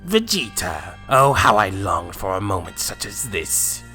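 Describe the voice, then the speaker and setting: A man's voice: sits low, big pitch swings, a little slow, bright, forceful tone, soft background music, full character voice. A man playing a smug, taunting alien parasite villain in an anime fan drama, cocky and slangy. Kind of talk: fan-made anime roleplay voice acting.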